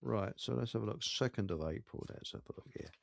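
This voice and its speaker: A man's voice: on the low side, lively intonation, fast, gravelly, no room sound. A man hosting a live radio show in casual, rambling chat, often muttering to himself.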